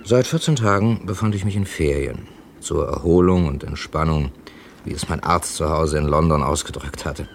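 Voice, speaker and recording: A man's voice: rumbling low, moderate pace, creaky. An actor playing a worldly, wry crime writer in his thirties in a 1970s German radio drama. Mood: wry, composed. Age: 30s. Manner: dry, wry, urbane. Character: Edgar Dickens, worldly thirty-six-year-old male crime writer from London. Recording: noisy old radio archive recording.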